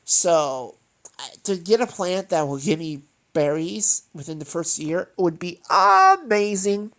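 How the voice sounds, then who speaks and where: A woman's voice: lowish voice, sing-song, slow, a bit bright. A woman hosting a gaming channel, sounding congested and nasal from allergies, chatting casually in a meandering way with lots of fillers and self-corrections. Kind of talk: rambling casual chat over gameplay.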